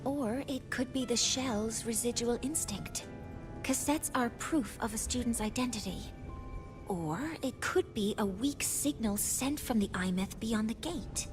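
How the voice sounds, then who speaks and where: An earnest young female game professor, acted by a woman, with a high voice. She explains things formally and precisely, with clear music playing behind her. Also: unhurried, lightly acted. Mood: composed, explanatory. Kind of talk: scripted game dialogue.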